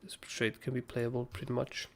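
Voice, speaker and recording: A young man with a hoarse, raspy voice, casually talking through trading charts as a livestream host, rambling and swearing freely. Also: mid-range voice, monotone, normal speed. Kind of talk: casual live chart analysis.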